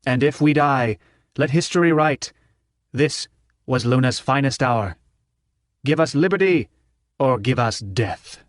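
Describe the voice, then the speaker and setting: A man's voice: mid-range voice, lively intonation, dry recording, studio quality, close to an everyday voice. Man voicing a fiery old orator, declamatory. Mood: solemn, stirring. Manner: declamatory, deliberate pauses for emphasis. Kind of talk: audiobook character speech.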